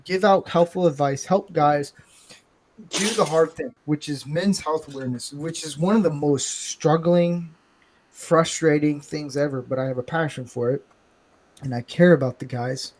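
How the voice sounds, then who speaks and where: Male podcast host, blunt and rambling, self-mocking, voice sits somewhat high. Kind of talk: casual live-stream chat.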